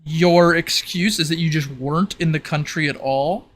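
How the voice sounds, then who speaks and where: A man acting as game master, improvising narration for a tabletop roleplay in a halting, think-aloud manner. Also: on the high side, steady tone, a bit bright, a little hiss or hum.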